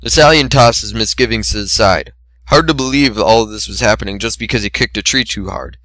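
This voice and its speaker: A man's voice: on the low side, fairly quick, fairly bright tone, no echo. A man reading a story aloud as scripted narration, in a dramatic style. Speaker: amateur reader of fan fiction.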